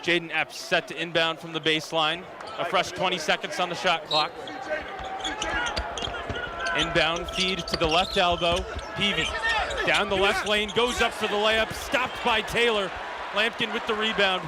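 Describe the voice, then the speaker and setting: A man calling live basketball play-by-play on radio with an energetic, excitable delivery. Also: high-pitched, normal speed. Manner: energetic, rising excitement on big plays. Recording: loud arena noise behind the voice. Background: basketball arena with bouncing ball.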